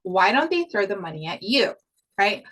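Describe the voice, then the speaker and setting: A woman's voice: mid-range voice, very animated, normal speed, fairly bright tone. A woman from Hawaii giving a casual online course on podcast sales, chatty and upbeat, repeating her points and swearing casually. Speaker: podcast coach.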